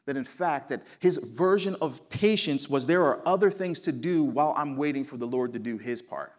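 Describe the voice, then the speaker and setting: A man's voice: mid-range voice, expressive, normal speed. A man in his forties with a South Jersey American accent, preaching a sermon in a conversational, folksy storytelling way. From New Jersey, United States. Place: church sanctuary.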